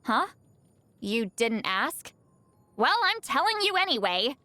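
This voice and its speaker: A woman voicing a video game seer, youthful-sounding, proud but easily flustered and secretly awkward, in an expressive, haughty and defensive manner. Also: on the high side, slow, dry recording, faint music behind, exaggerated voice. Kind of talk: video game character voice lines.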